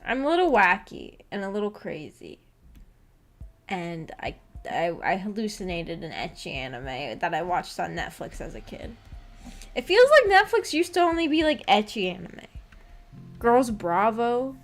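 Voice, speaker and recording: A woman's voice: medium pitch, very animated, measured pace. A young adult woman chatting casually as a livestream host, rambling in a giggly, self-deprecating way. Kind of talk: casual livestream chat with viewers.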